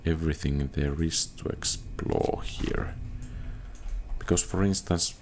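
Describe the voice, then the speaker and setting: A man doing casual video game commentary, halting and musing as if thinking aloud. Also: extremely low pitch, fairly even intonation, a little mellow, a little hiss or hum. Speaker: gamer narrating own playthrough.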